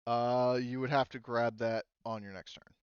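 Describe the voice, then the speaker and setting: A man's voice: mid-range voice, steady tone, normal speed, smooth, dry recording, dull audio. A man acting as game master, casually refereeing a tabletop game and explaining rules step by step.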